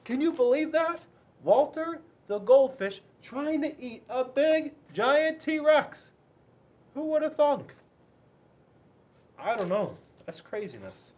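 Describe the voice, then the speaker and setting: A man's voice: extremely high pitch, big pitch swings, takes it slowly, a little mellow. A man reading a picture book aloud and chatting to children, playful and full of rhetorical questions. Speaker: school resource officer reading to children.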